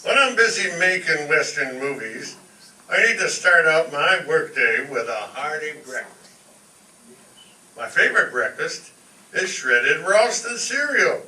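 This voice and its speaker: Guy doing a hearty cowboy movie star pitch, slow and really bright, echoey hall. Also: expressive, full character voice. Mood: folksy, upbeat. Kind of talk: 1930s radio commercial acting. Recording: echoey hall, distant mic.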